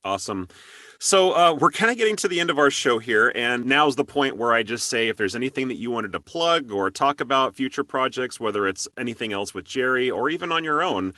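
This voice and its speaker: A man hosts an interview in an easy conversational style. His voice is bright and medium in pitch, recorded dry and close. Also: animated, brisk, dry recording. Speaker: interview show host. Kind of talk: casual interview hosting.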